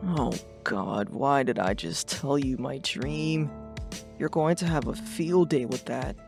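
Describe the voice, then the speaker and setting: Man acting sarcastic teenage boy, casual sweary slang, medium pitch with creaky edges, secretly uneasy, webcomic dub. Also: slow pace. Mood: rattled. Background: music.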